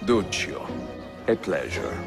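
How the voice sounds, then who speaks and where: Seasoned assassin, low voice, Italian accent, calm, understated, courteous, game cutscene with music behind. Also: male voice, takes it slowly, vocal fry, heavily acted. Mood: dry. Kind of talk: video game cutscene acting.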